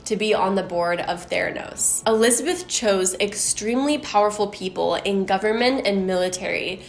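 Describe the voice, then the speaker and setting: Woman host, casual storyteller, slightly deep voice, conversational, roomy echo on the recording. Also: moderate pace, creaky, slight background noise. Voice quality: somewhat deep voice. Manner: chatty, conversational, musing asides.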